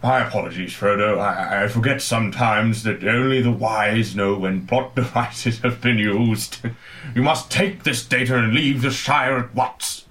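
A man's voice: fairly low pitch, unhurried, fairly bright tone. A man playing a kindly, rambling old wizard who speaks in wordy, portentous storytelling for an amateur comic pantomime. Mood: sheepish.

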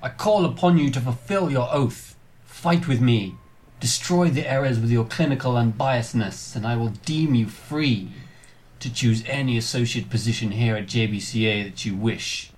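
A man plays a noble warrior leader in an amateur pantomime. His medium-pitched voice is earnest and formal, with heroic resolve. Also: normal speed, natural voice. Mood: earnest, resolute. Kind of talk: amateur comic pantomime acting.

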